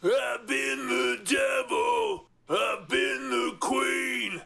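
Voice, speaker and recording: Man belting a strained, raspy rock vocal. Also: very high voice, lively intonation, very slow, bright, forceful tone, shouting. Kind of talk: rock vocals, half-shouted singing.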